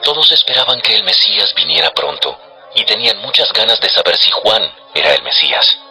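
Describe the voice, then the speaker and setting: A man narrating scripture as a scripted dramatization, in measured, declarative storytelling. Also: on the low side, very animated, bright, forceful tone, soft background music, rough recording.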